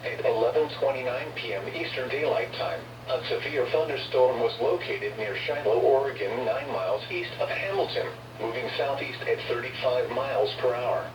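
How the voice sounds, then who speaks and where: A man announces on weather radio in a formal, synthetic-sounding way, reading a scripted emergency bulletin at a medium pitch. The broadcast reception is noisy and sounds distant, with an echo around the voice. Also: normal speed. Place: recorded off a radio receiver.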